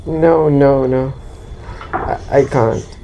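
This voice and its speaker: Man playing a slow, halting, weary vagabond. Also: mid-range voice, steady tone, dark tone, a little hiss or hum. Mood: reluctant, flustered. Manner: halting, hesitant, pleading. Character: down-on-his-luck rat trap seller, a weary, apologetic male vagabond. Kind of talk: student radio drama acting.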